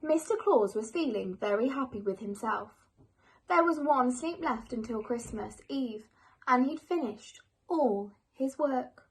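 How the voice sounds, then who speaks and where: A woman reading a children's bedtime story aloud in an expressive, measured storytelling manner. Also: fairly high pitch, poor audio.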